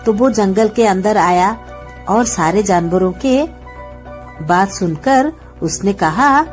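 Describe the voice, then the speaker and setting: A woman's voice: mid-range voice, takes it slowly, a little mellow, soft background music. A woman narrating a scripted children's story as its storyteller.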